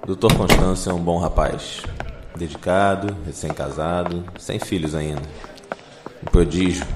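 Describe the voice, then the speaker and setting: An actor playing a cynical, world-weary police detective in a noir audio drama, with a blunt, sardonic delivery and a Brazilian accent. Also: male voice, low-pitched, echoey room, noisy background, close to an everyday voice. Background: music.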